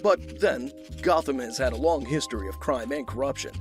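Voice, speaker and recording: An actor playing a wealthy, guarded, dry-witted man in an audio drama, speaking in terse, measured lines. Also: medium pitch, faint music behind, close to an everyday voice. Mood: guarded, polite.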